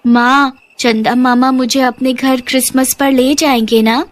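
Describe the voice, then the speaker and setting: A woman's voice: high voice, fairly even intonation, measured pace. A woman voicing an eager, wistful little girl in a children's story, earnest and pleading. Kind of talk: children's moral story voice acting.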